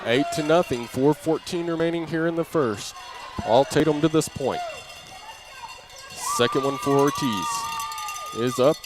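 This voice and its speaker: A man calling live basketball play-by-play for an audio broadcast in short, clipped phrases, raising his voice with the action. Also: a little high. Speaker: play-by-play announcer. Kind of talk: live basketball radio commentary. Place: indoor basketball gym during game. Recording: noisy gym ambience, bouncing balls.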